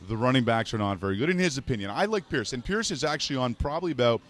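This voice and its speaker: A man's voice: medium pitch, lively intonation. A man from Montreal hosting a fantasy sports radio show in casual, joking chat. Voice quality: joking, brisk. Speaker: podcast host.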